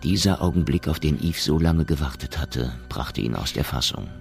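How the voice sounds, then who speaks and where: A man's voice: very deep voice, moderate pace, gravelly, a little mellow. An actor narrating a science fiction radio drama as a composed male storyteller, reading scripted prose. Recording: studio narration with faint music. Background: faint music.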